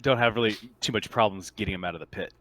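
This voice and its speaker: Low-voiced man running a tabletop game. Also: quick pace, fairly bright tone, no room sound. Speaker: game master. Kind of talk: casual narration of a tabletop roleplaying game.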